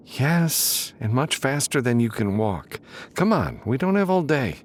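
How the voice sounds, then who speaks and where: A confident, teasing freelancer woman from a space western , voiced by a male narrator. The delivery is lifted in pitch, playful and assertive, with faint noise behind it. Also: sing-song, measured pace. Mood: insistent.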